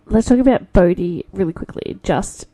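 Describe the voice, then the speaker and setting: A woman's voice: medium pitch, moderate pace, dark tone, no room sound, rough recording. A young woman co-hosting a book podcast, giggling and animatedly retelling scenes in casual chat. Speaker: podcast co-host.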